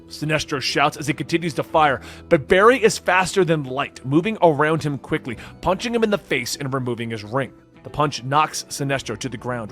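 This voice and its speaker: Male narrator, dramatic scripted comic-book storytelling, raised pitch, faint music bed. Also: fairly bright tone.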